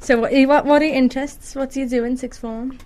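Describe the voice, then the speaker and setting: A woman's voice: high voice, fairly even intonation, fast talker, a little mellow. A young woman radio presenter talking casually, in a giggly, chatty way. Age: young adult. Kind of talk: casual chat. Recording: clear background noise, room ambience.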